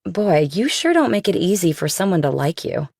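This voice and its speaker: A woman acting uneasy young office professional, lowish pitch, vocal fry, tentative, thriller audiobook. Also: big pitch swings, moderate pace, darkish tone, no room sound, studio quality, full character voice. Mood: uneasy, defensive. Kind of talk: audiobook character dialogue.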